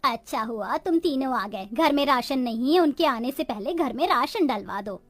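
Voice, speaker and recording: Poor village housewife for a cartoon moral tale, played by a woman: high voice, dramatic, complaining, close dry recording. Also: expressive, normal speed, no echo, soft background music. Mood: weary. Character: village women of a poor family, resourceful daughters-in-law and their mother-in-law. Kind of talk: Hindi cartoon moral-story dialogue.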